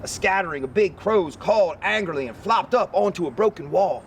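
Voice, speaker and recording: A man reading a children's picture book aloud as an expressive narrator. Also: high-pitched, a little fast, slight background noise. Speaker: story reader.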